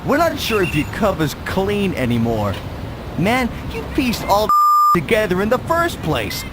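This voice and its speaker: An actor playing a worried, streetwise police handler in a video game, blunt and urgent, with an American accent. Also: male voice, sits high, wildly swooping pitch, unhurried, heavily acted. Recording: noisy outdoor urban cutscene with vehicles.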